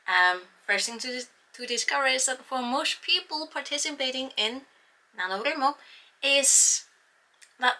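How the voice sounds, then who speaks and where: A woman's voice: high voice, bright, forceful tone, low-quality recording. A young woman with an Icelandic accent, English not her first language, chatting casually and self-mockingly to camera about her writing plans. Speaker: writing vlogger. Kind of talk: casual vlog talk to camera.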